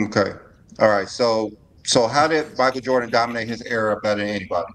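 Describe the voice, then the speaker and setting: A man's voice: medium pitch, fast talker, a bit bright, poor audio. A man hosting a debate on a video call, pressing with probing questions and cutting in by repeating himself. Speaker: host. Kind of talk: debate moderation.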